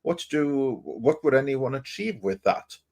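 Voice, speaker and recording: A man's voice: mid-range voice, expressive, a little slow, gravelly, darkish tone, slightly rough. A man hosting a panel talk, arguing his points conversationally with emphatic, questioning speech. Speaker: panel host. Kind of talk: opinionated panel discussion, speculation.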